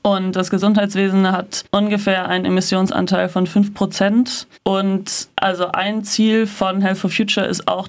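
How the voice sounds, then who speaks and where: A woman interviewed as an activist in a radio studio, giving informal explanatory answers in loose, hedging phrases. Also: fairly low pitch. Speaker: climate and health activist being interviewed.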